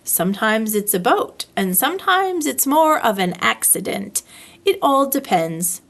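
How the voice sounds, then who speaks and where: Lady doing a proud little storybook bear guy, earnest n simple, highish, bouncy pitch. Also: sing-song, unhurried, a bit bright, heavily acted. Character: proud, modest-witted friendly male toy bear.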